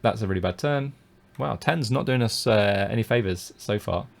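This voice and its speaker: A man's voice: lowish voice, moderate pace, creaky. A British man, a poker coach, commenting casually on his own live-streamed tournament play and talking through each decision. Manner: thinks aloud with many fillers and restarts.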